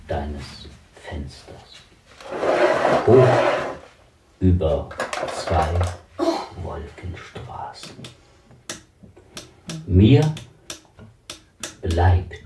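An actor as a solemn man reciting melancholy poetry in halting, drawn-out phrases, as if on a broadcast. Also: sits low, exaggerated voice. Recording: echoey, distant, noisy broadcast playback.

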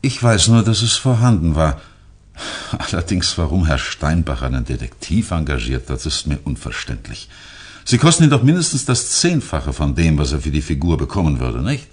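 An actor playing a composed, guarded male lawyer and notary in a German radio drama, speaking in a formal, measured manner. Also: extremely low pitch, big pitch swings, husky, full character voice. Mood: measured, evasive.

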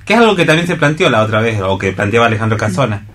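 Argentine man thinking aloud, halting and circling. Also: on the low side, expressive, fairly bright tone. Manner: halting, circling, repeats phrases. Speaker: radio theatre reader leading a discussion. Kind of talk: casual round-table discussion. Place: radio programme.

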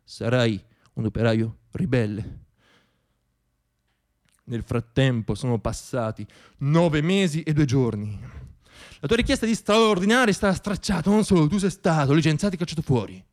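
Male monologist, thirties, high voice, theatrical comic storytelling with dramatic shifts. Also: expressive, moderate pace. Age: 30s. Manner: dramatic delivery, shifting between hushed asides and declamation. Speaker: stage monologist.

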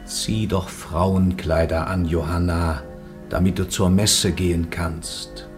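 A man's voice: low-pitched, steady tone, takes it slowly, over music. An actor playing a male church interrogator who questions an accused woman sternly and lectures her. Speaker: interrogating judge. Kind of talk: radio play acting.

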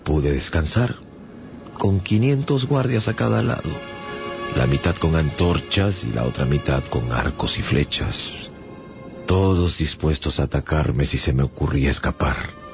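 A man's voice: a bass voice, animated, measured pace, creaky, full character voice. An actor playing a bemused shipwrecked traveller, telling his adventures in a theatrical, wry radio-drama narration. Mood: wry, reflective. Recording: dull lo-fi sound with music behind. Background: music.

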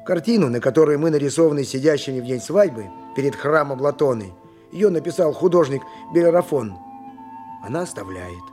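A man's voice: fairly high pitch, lively intonation, brisk, dark tone, soft background music, close to an everyday voice. An actor playing a poor, downtrodden ancient Greek donkey driver in an audio drama, plaintive, simple and resigned, both telling and acting his story. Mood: resigned, sorrowful. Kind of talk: audio drama, dialogue mixed with first-person narration.

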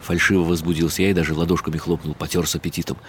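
Man, literary narration, first person, measured, wry, very low pitch. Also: flat delivery, fairly bright tone, a little hiss or hum.

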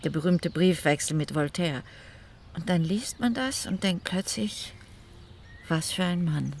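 A woman's voice: on the low side, measured pace, darkish tone, a little hiss or hum. An actress playing an infatuated female scholar, confiding and musing in a radio play monologue. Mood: wistfully enraptured. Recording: clear outdoor ambience with birdsong.

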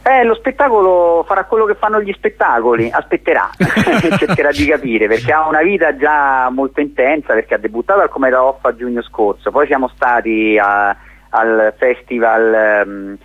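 A man's voice: medium pitch, lively intonation, moderate pace, no room sound. Casting: male theatre director as radio interviewee, explanatory and articulate with hesitations, dry, narrow phone-like sound. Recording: thin phone-like radio line.

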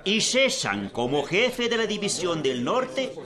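An actor playing a solemn convention delegate, declaiming formal resolutions deliberately and emphatically in a historical radio drama. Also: male voice, high voice, very animated, sharp tone, full character voice. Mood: formal, declaring.

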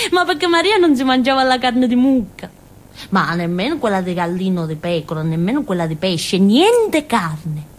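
An actress playing a naive, simple-minded village woman in a theatre monologue, with a southern Italian accent and a childlike, halting, colloquial way of telling things. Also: on the high side, big pitch swings. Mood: naive, confiding. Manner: childlike, halting, giggly, muttering little asides. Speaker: stage actress.

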